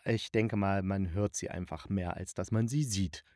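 A man's voice: low-pitched, normal speed, dark tone, no room sound, studio quality. A man hosting a podcast, giving a chatty review while thinking aloud and correcting himself. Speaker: podcast host and radio drama critic. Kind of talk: chatty, loosely scripted review monologue.

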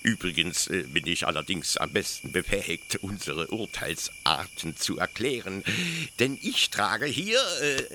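An actor playing a proud, defensive young officer-judge in a radio play, explaining pedantically with hesitant, stammered pauses. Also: male voice, sits low, sing-song, noisy background. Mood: apologetic, defensive. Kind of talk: German literary radio play acting.

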